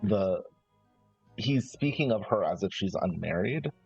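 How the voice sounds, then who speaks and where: A man chatting casually and haltingly out of character as a player in a tabletop roleplaying game. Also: deep voice, lively intonation, gravelly, darkish tone, slightly rough. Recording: dull lo-fi sound, background music.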